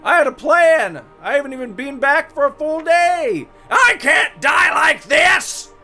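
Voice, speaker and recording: A man voicing the ghost of a brash, crude young soldier, shouty and melodramatic, in a visual novel reading. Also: extremely high pitch, lively intonation, unhurried, faint music behind, exaggerated voice. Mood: outraged, panicked.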